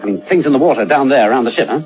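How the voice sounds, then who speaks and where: An actor playing a sober, uneasy British gentleman telling a sea horror tale on radio, with measured, deliberate phrasing. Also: mid-range voice, expressive, lightly acted. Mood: reasoning, concerned. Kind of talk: dramatised first-person radio narration and dialogue. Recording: dull radio recording, faint music.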